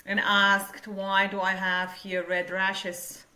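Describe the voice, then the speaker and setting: A young woman from Lithuania with a Lithuanian accent, a podcast guest speaking slowly with halting non-native grammar. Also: medium pitch, monotone, pushed and bright, reverberant. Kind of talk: casual interview.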